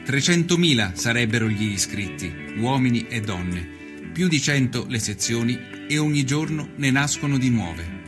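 A man performing an earnest nineteenth-century Italian journalist who recounts his travels in a measured, solemn, literary radio monologue. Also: mid-range voice, lively intonation, music in the background, lightly acted. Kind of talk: scripted dramatic narration, radio storytelling.

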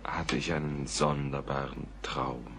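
An actor playing a troubled, dreamy gentleman in a literary radio play, brooding and at times hushed, over music. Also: very deep voice, flat delivery, a little slow, noisy background. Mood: uneasy, confiding. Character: troubled, dreamy gentleman recounting an uncanny night.

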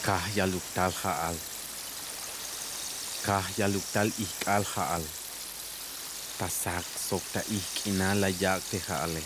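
A man's voice: low-pitched, steady tone, slow, long pauses. A man solemnly reciting ancient myth in a radio drama. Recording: noisy, with hissing rain-like water sound. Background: rain and running water.

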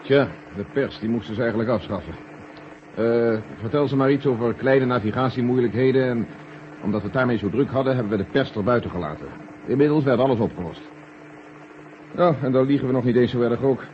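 An actor playing an authoritative, deliberate space agency general in a 1970 Dutch radio drama. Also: male voice, medium pitch, lightly acted. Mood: wry, dismissive. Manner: deliberate, commanding. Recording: dull, hissy 1970 radio recording.

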